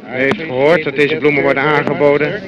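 A man's voice: a little high, flat delivery, fairly quick. A male radio reporter improvising live 1940s outdoor commentary, repeating phrases, on a dull old recording. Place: outdoors in a crowd. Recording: dull 1940s recording, crowd noise behind. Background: crowd noise.

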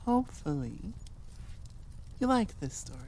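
A woman with a low, mellow voice talks casually to her listeners. She thinks out loud in a reflective, informal ramble, with faint noise behind her. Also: sing-song, measured pace, vocal fry. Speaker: audio creator addressing listeners. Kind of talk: casual chatty outro, thinking aloud.

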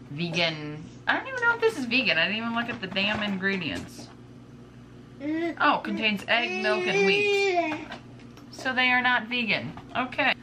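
A woman in her twenties chatting casually to camera at home as a vlogger, rambling informally and drifting into asides. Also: a little high. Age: 20s. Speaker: vlogger, stay-at-home mother. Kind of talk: casual chat to camera, showing groceries. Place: indoors in a home kitchen. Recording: clearly noisy home recording with room ambience.

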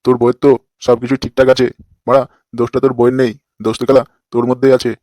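A man's voice: mid-range voice, completely flat delivery, rapid-fire, mellow, dry recording, lightly acted. A man voicing a crude, lecherous fake holy man in a comic cartoon, with a brash, scolding manner. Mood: mocking.

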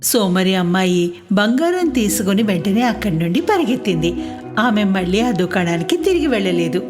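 A woman's voice: medium pitch, sing-song, normal speed, music in the background. A woman storyteller reading scripted narration for a children's moral tale.

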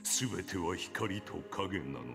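An actor playing an ancient, solemn, stern reaper assassin in a video game, speaking in grave, archaic, commanding pronouncements. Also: male voice, deep voice, lively intonation, fast talker. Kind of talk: scripted video game character lines. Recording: game audio over loud music.

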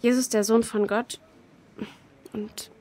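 A woman playing a gentle, patient grown-up who comforts and explains carefully to children in an audio drama. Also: on the high side, little pitch movement, slow pace. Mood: gentle, serious.

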